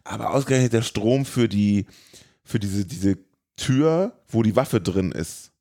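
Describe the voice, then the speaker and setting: A man with a fairly low voice chats casually as a podcast host. He rambles a little, breaking off and picking his thread up again as he talks about films. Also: animated, a little slow, dark tone. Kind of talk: casual chat about a film.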